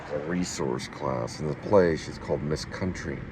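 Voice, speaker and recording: Man, low pitch, casual vlog host chat, noisy vehicle background. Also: normal speed. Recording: noisy car and road sound. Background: car and traffic noise.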